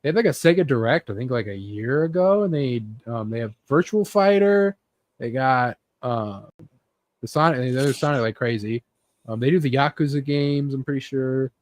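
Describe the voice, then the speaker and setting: A man's voice: mid-range voice, lively intonation, moderate pace, darkish tone, no echo. A man hosting a casual chat about gaming news, talking informally. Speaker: host.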